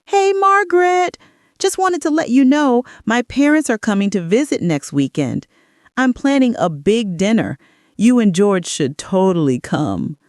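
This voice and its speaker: A woman's voice: fairly low pitch, wildly swooping pitch, a little slow, few pauses, a little mellow, slightly rough, no echo, studio quality, exaggerated voice. A woman voicing a cheerful, smug younger daughter-in-law, gushing and oblivious, in a dramatic story. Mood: cheerful, excited.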